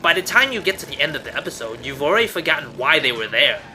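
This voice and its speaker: A man's voice: a little high, very animated, fast, bright, forceful tone, rough recording. A man narrating a scripted countdown review as host, with emphatic delivery and wry asides, over music. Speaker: host of an online cartoon countdown show. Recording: music under the voice. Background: background music.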